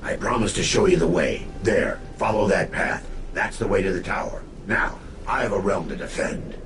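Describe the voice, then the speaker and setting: An actor voicing a blustering magical hound guide in a video game, theatrical and self-important. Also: male voice, low voice, normal speed, music in the background, close to an everyday voice. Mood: hurried, self-important. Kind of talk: video game character acting. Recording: echoey with noisy ambience.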